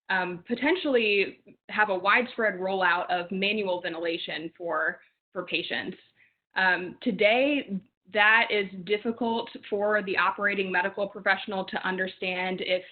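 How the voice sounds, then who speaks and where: A woman moderating a virtual panel who introduces projects and guests in an organized way, with frequent "um"s. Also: medium pitch. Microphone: video call. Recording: phone-like call audio.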